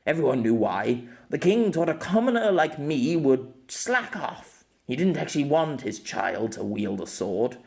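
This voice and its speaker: A man acts out a young former knight in an audiobook story. He is cocky and wry, bantering with a lively, moving pitch and a self-deprecating streak. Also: a little slow, gravelly, few pauses, exaggerated voice. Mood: wry, confiding.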